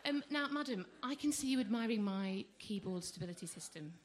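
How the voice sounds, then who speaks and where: A woman's voice: medium pitch, expressive, a little fast. A woman doing musical stand-up on stage, with an awkward, apologetic, understated delivery. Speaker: musical comedian. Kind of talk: stand-up between comic songs. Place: live comedy club stage. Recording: noisy live room.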